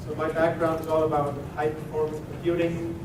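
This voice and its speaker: A middle-aged man from Switzerland with a Swiss German accent, giving a relaxed technical talk to a meetup audience with plenty of "uh" and "um". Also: a little high, brisk. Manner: relaxed and conversational, with frequent "uh" and "um". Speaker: presenter at a tech meetup. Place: meeting room at a company office. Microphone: room recording from a distance. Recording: echoey room from far off, with background noise.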